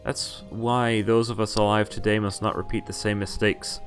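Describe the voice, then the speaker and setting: A man's voice: fairly low pitch, little pitch movement, normal speed, faint music behind. A man streaming a visual novel, reading its text aloud and adding casual, wry asides, often stumbling over names and correcting himself.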